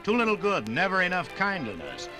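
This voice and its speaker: Highish, animated male radio narrator. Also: fairly quick. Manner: dramatic, oratorical phrasing. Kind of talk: 1950s radio documentary narration, scripted. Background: music.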